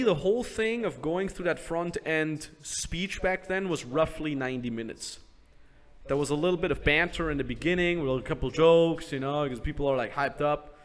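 A man with a slightly high voice explains sales steps in a casual podcast chat. He walks through each stage in order, often slips into acted sample pitches, and tags his points with a quick "right?". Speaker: business coach host. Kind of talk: casual advice conversation.

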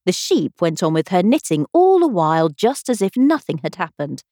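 A woman reading a children's classic aloud as expressive storybook narration. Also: fairly low pitch, normal speed, no echo, studio quality. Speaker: audiobook reader.

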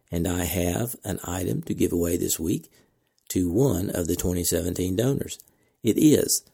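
A man hosting a podcast, reading announcements in a measured, deliberate way with casual asides, his voice cracking from a slight cold. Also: low voice, creaky, dark tone, breathy edge. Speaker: podcast host.

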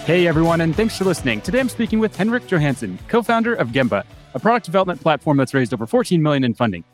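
A man's voice: a little high, big pitch swings, soft background music. A man hosting a podcast, reading an upbeat intro and then asking casual interview questions. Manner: upbeat, brisk host delivery. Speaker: podcast host.

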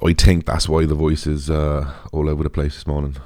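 A young British man with a deep, raspy voice, chatting casually as a podcast host in a rambling, informal way. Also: completely flat delivery, brisk, a little mellow, no echo, studio quality. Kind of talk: casual solo podcast chat.

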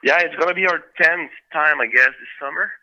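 A man's voice: fairly high pitch, a little fast, bright, forceful tone, dry recording, muffled recording. A man from Quebec with a French Canadian accent, a band member talking loosely in a casual interview, full of fillers and restarts.

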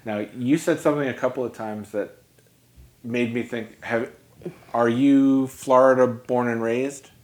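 A man's voice: medium pitch, steady tone, unhurried, a little mellow. A man from New York hosting a podcast, chatting casually with a guest and dropping joking asides. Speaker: podcast host. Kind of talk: conversational interview.

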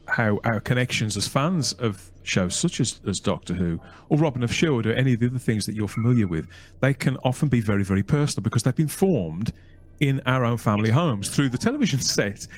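A man's voice: medium pitch, animated, fairly quick. A man hosting a podcast, asking long, winding interview questions with restarts. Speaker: podcast host.

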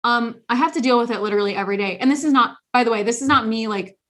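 A young woman co-hosting a podcast talks in a bright, forceful voice and sits a little high in pitch. She rambles through her story, circling back and restarting her sentences as she goes. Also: rapid-fire. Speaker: podcast co-host. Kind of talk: casual chat.

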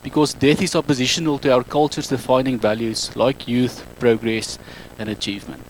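A man in his thirties speaking English with an Afrikaans accent, giving a public talk on a handheld mic in a halting, self-correcting way. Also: mid-range voice, little pitch movement, slight background noise, poor audio. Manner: halting, self-correcting non-native English with frequent ums. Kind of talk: public talk to a live audience.

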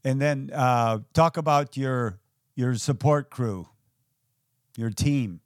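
A male podcast host leads the conversation in a direct, chatty way. His pitch is middling, and he sets out the context before he asks. The recording is dry and close. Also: slow pace, no echo. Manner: direct, explains before asking. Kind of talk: conversational interviewing.

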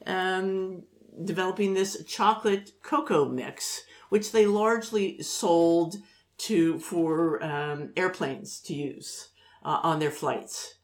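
Slow, hesitant co-host. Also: medium pitch. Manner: slow, hesitant, many fillers. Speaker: podcast co-host. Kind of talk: casual chat.

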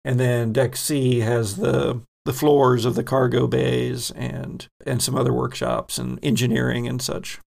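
A man narrating as game master of a tabletop role-playing game, with relaxed, rambling descriptions. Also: medium pitch, a little slow, vocal fry, hardly any pauses.

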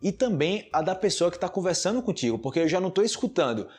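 A man with a Brazilian Portuguese accent speaks as an informal language teacher. His voice is husky rather than smooth. He explains conversationally, leaning on repetition to stress his points. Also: high-pitched, very animated, fast, a little mellow. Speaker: Portuguese teacher and podcast host. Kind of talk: informal explanatory talk to learners.

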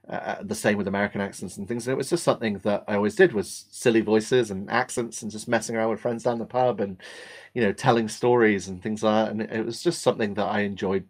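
Relaxed English man, lowish voice. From England. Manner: thoughtful, storytelling, easygoing. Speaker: voice actor guest. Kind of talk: relaxed interview answers.

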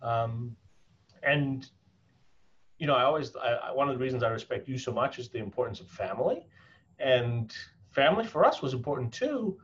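A man's voice: mid-range voice, expressive, slight background noise. Earnest man with an American accent, lo-fi. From South Africa. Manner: earnest, halting with many restarts and fillers. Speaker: interview guest. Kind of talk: reflective personal storytelling.

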